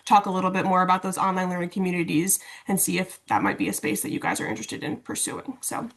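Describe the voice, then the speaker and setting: A woman giving an informal webinar walkthrough of software over a video call, explaining things in a casual, conversational way. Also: fairly low pitch, expressive, fast talker, gravelly. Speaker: instructional designer and LMS administrator presenting.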